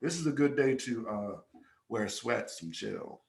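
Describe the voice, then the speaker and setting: A man's voice: mid-range voice, animated, unhurried, gravelly. A man with a stuffed-up, congested voice, telling stories in a laid-back, slangy way as a podcast co-host. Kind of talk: casual chat.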